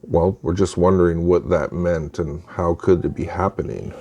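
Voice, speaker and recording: A man with a very low voice narrates creepy tales from a script. His tone is dark and soft-edged, and he delivers each line in a measured, deliberate way, as a storyteller would. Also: fairly even intonation, breathy edge, muffled recording. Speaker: narrator of true scary stories.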